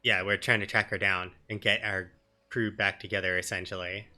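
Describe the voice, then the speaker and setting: A man's voice: low voice, flat delivery, normal speed, sharp tone. A man giving casual, informal commentary as he streams a video game. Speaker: let's play streamer.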